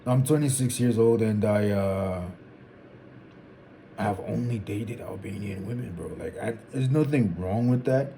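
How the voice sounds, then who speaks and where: A man in his twenties thinking out loud in a casual, rambling vlog, restarting sentences and dropping "like" and "bro". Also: lowish voice, a little slow, background noise. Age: 20s. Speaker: vlogger. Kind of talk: casual reflective monologue.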